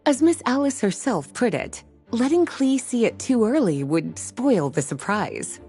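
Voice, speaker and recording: A woman playing a poised, knowing and gently teasing young librarian of magic in a video game. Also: a little high, very animated, unhurried, soft background music. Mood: amused. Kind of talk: video game character acting.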